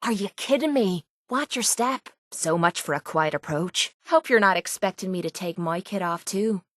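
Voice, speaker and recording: Game companion role, female actor: young Irish mercenary woman, tough, brash and foul-mouthed, mid-pitched voice, close dry studio sound. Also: moderate pace, fairly bright tone, no room sound, lightly acted. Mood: cocky, sardonic. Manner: blunt, cocky, slangy. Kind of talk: video game companion voice lines.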